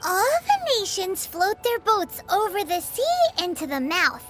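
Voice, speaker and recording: A woman voicing a tiny childlike fairy guide in a game, chatty, excitable and easily exasperated, with a squeaky voice. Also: measured pace, heavily acted. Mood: playful, smug. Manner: refers to self in third person, squeaky, excitable. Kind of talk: animated game voice acting. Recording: background music over the voice.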